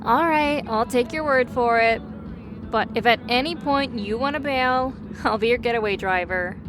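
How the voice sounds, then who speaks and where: A woman's voice: fairly high pitch, normal speed, over music, close to an everyday voice. A woman playing a caring, teasing young best friend in an audio roleplay, chatty and a little hesitant as she talks. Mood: caring, concerned. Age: young adult. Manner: chatty, hesitant, self-correcting, playfully teasing. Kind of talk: interactive audio roleplay acting.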